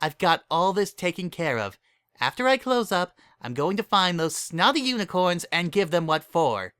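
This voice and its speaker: A man's voice: high voice, big pitch swings, normal speed, fairly bright tone, clear, resonant tone, pitched above the performer's own voice. A man voicing a protective, no-nonsense mare shopkeeper in a pony story, with a scolding, sceptical manner. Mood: determined. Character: protective, no-nonsense yellow mare minding candy shop.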